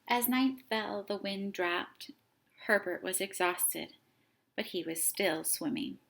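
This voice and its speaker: Expressive woman reading a children's story, bright voice. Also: medium pitch, gravelly. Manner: dramatic, deliberate phrasing. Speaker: storytime host reading a picture book aloud.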